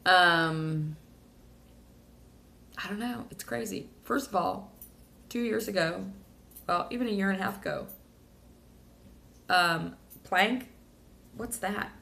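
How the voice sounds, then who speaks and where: A woman sharing a rambling, emotional personal video diary, a little hoarse from talking. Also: mid-range voice, slow pace, pauses often, pushed and bright. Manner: rambling, emotional, many fillers and restarts. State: slightly hoarse. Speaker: vlogger.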